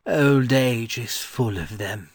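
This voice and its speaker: A man voicing a gentle, wistful old scholar in an audiobook, musing fondly and haltingly. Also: medium pitch, slightly rough, no room sound, clean studio sound, voice pushed up. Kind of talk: audiobook character voice.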